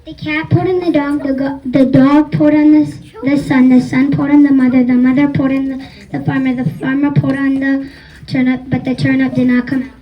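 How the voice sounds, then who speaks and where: A child reading a story aloud as narrator in a school readers' theater, halting over the lines. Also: sits high, little pitch movement. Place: school event, mic at a distance. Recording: muffled, distant microphone.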